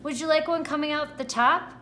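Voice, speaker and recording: A woman's voice: high-pitched, steady tone, fairly quick, pushed and bright, slight background noise, voice pushed up. A woman voicing a patient but doubtful mother from a storybook, questioning her young daughter. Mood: patient, asking.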